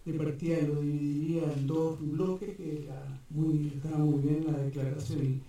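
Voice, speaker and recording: A man speaks at a community forum, hesitant and full of fillers. His voice is dark and mellow and sits a little high for a man. He sounds distant, caught in a big echoing room. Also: flat delivery, normal speed. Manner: hesitant, many fillers and restarts. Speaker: park defender, invited speaker. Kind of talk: public talk at a community forum. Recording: echoey hall, mic far off.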